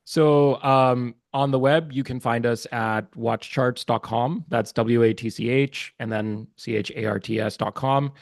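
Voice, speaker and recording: A man from Pakistan, Urdu his first tongue, talks as a podcast guest in a mid-pitched voice. He speaks with eager warmth but stumbles through fillers and restarts. The sound is close and dry, with no room echo. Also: steady tone, measured pace, hardly any pauses. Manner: hesitant, many fillers and restarts, earnest and enthusiastic. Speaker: guest, watch market research business developer. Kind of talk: casual interview chat.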